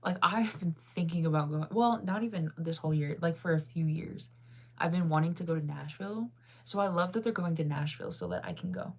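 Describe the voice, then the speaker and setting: Giggly, rambling woman with lowish voice. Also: very animated, fairly quick, darkish tone. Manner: rambling with many fillers and frequent laughs. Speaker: streamer chatting with viewers. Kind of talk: casual chat.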